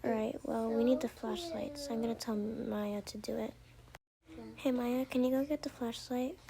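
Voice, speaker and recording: A woman's voice: on the high side, moderate pace, vocal fry, crowd noise. A woman voicing a soothing but easily exasperated mother in an amateur roleplay story. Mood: matter-of-fact.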